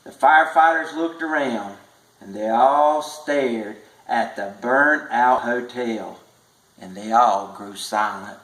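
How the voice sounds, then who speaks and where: A man reading a tall tale aloud as a storybook narrator, measured and with stretched emphasis. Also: mid-range voice, fairly bright tone. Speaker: storybook reader. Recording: echoey room.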